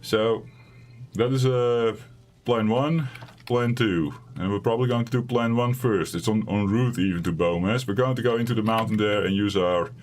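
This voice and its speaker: A raspy, fairly low-pitched man rambles through casual let's-play commentary, joking at his own expense as he streams from a home gaming setup. Faint keyboard clicks tick away behind him. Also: moderate pace, a little mellow, a little hiss or hum. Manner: rambling, self-deprecating, chatty.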